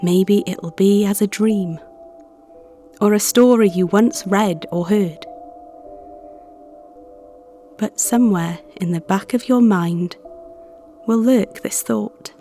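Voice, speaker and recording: A woman playing a gentle, protective therapist in an ASMR audio story, earnest and deliberate, with music behind her. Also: medium pitch, close to an everyday voice. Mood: tender, reassuring. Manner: soothing, earnest, deliberate with pauses. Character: gentle, protective female visualization therapist guarding imagined minds. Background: background music.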